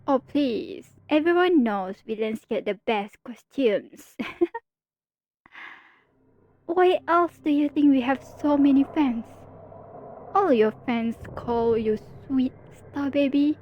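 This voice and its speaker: Slow, teasing villainess, a woman performing. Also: sits high, dull audio. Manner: teasing, playful, confiding. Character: teasing female villain, listener's nemesis. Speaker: ASMR roleplay performer voicing a villain. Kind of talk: ASMR roleplay monologue.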